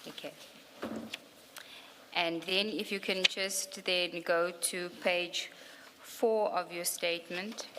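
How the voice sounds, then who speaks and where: Low-pitched, unhurried woman in an echoey hall. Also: fairly even intonation. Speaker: evidence leader questioning a witness at a commission. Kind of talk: formal inquiry questioning. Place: large hearing room. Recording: echoey hall, clear background noise.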